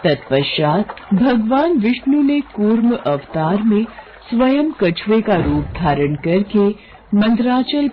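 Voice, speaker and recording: A woman's voice: mid-range voice, muffled recording, a little hiss or hum. A woman narrating a scripted mythological tale as a storyteller.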